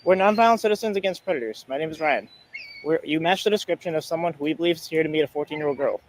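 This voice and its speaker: Male citizen investigator, high voice, halting and insistent, questioning a stranger outdoors over faint background noise. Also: low-quality recording. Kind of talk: on-the-street confrontation interview. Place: outdoors in a public place.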